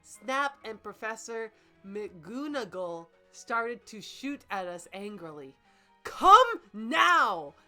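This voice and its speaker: A woman with a bright, forceful voice takes her time reading fanfiction in a mock-dramatic way. She is casual and profane, tripping over odd words, with faint music underneath. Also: fairly high pitch, big pitch swings. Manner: jokey, profane, halting over misspellings. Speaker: co-host reading fanfiction aloud and critiquing it.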